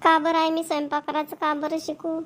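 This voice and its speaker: Woman doing a stubborn college girl, kinda playful, real high voice. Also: monotone, brisk, fairly bright tone. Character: young woman college student, carefree and stubborn.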